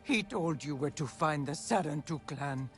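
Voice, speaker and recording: A man playing a grave, accusing alien in a video game, stern and deliberate. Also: on the high side, lively intonation, close to an everyday voice. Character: grave, accusing alien who recognizes a betrayer. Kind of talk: video game cutscene acting. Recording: music underneath.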